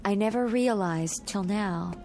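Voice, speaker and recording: An anxious young woman in a radio drama, played by an actress, lost somewhere eerie. Her mid-pitched voice has a noticeable creak and a hesitant, wistful delivery. Music plays underneath. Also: a little slow, lightly acted. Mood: wistful, worried.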